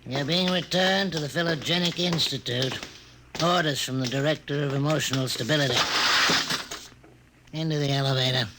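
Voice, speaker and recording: A man's voice: a little high, moderate pace, long pauses, natural voice. A man acting in a 1950s radio drama as an officious prison custodian with a clipped, official manner. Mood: curt, menacing. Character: curt, officious male prison custodian, quietly menacing. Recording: noisy old radio recording with clicks.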